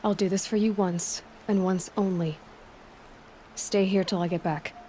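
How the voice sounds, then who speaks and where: A woman's voice: medium pitch, fairly even intonation, a little slow, lightly acted. A woman playing a determined, principled young hunter in a video game cutscene, direct and firm. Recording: clear background noise, game ambience.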